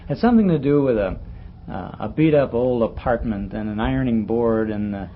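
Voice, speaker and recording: American guy interviewing someone about the old days, medium pitch, halting, dull recording with faint noise. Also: expressive. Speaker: radio interviewer and host. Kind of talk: casual nostalgic interview. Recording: muffled, noisy archival radio interview.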